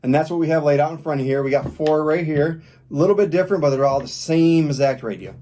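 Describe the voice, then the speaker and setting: A man's voice: fairly high pitch, fast talker, dark tone. Casual man giving a hands-on gear review. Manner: informal, demonstrates step by step. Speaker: product reviewer.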